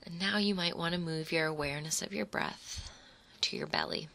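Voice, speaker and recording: A woman leading a guided imagery meditation in a soothing, unhurried manner, speaking in short phrases with pauses between them. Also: on the low side, vocal fry, sharp tone, slight background noise. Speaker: guided relaxation narrator.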